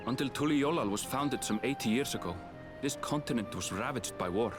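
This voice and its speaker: A man performs a grave townsman in a fantasy video game. He has a medium-pitched voice and a measured, formal delivery. Music plays clearly underneath. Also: lively intonation, lightly acted. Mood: solemn.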